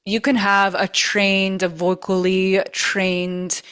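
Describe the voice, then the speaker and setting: A woman with a trained, steady voice leads a masterclass in an easygoing coaching chat. She talks earnestly and drives her points home by repeating and stressing key words. Her pitch sits right in the middle. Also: slow pace, clean tone. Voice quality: vocally trained. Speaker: masterclass host. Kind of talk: casual coaching talk.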